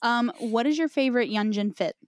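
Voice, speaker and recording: A woman's voice: fairly high pitch, brisk, no room sound, clean studio sound. A young woman hosting a podcast with casual, enthusiastic gaming chat full of slang. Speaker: podcast host.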